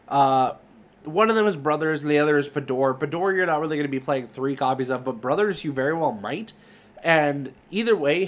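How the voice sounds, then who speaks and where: A man hosting a market video, giving casual, rambling commentary and thinking aloud as he goes. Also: fairly high pitch. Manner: rambling, thinking aloud while browsing listings. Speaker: host of a trading card market video. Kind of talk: casual screen-share commentary.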